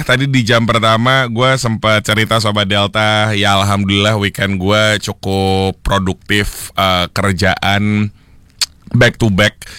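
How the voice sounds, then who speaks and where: A young man hosting a solo radio show, chatting casually with listeners and slipping English phrases into his talk, with a slightly hoarse throat. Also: fairly low pitch, pushed and bright, dry recording. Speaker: radio host. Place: radio studio. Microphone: studio microphone.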